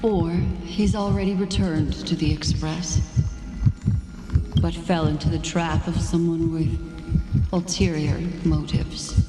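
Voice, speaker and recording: A woman voicing a composed, self-assured mystic in a video game, with poised, deliberate delivery. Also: on the low side, steady tone. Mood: speculating. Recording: long echoing reverb, music behind.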